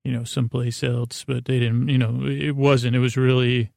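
Gravelly middle-aged man, halting and meandering. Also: mid-range voice, flat delivery, darkish tone, no room sound, studio quality. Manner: halting, meandering, self-interrupting; self-deprecating. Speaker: podcast host. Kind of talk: rambling bedtime monologue for a sleep podcast.

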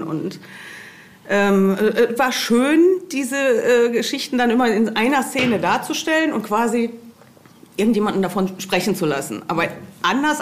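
Middle-aged woman chatting, amused and meandering. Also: mid-range voice. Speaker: podcast guest, fan. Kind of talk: casual chat, anecdotal.

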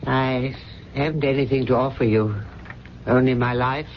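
A man's voice: medium pitch, steady tone, moderate pace, natural voice. A man playing a courteous, anxious older butler, muffled. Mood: tender, sorrowful. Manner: formal, deferential, hesitant. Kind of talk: radio drama acting. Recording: dull, noisy 1970s radio broadcast.

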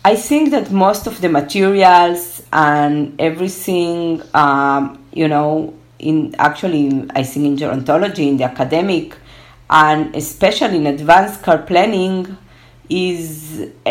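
Woman, Spanish speaker, low pitch, unhurried pace, halting and reflective, interview guest. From born in Argentina, moved to Israel at twelve. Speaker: interview guest, gerontology researcher. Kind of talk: conversational interview.

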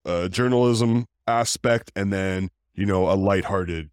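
A man who hosts a podcast, talking casually in a deep voice, rambling with fillers and restarts. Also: unhurried, smooth, no room sound, clean studio sound. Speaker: podcast host. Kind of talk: casual interview chat.

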